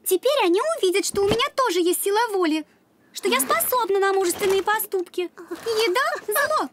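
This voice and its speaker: A woman's voice: very high voice, animated, fairly quick, heavy background noise. A woman voicing a vain, dramatic teenage dog girl in a cartoon, whiny and theatrical. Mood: resolute. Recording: loud cartoon music behind voice.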